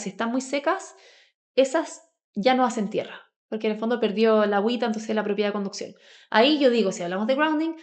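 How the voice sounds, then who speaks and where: A Chilean woman talking conversationally as a podcast guest, telling personal stories in a colloquial way full of asides. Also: fairly high pitch. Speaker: podcast guest, grounding advocate.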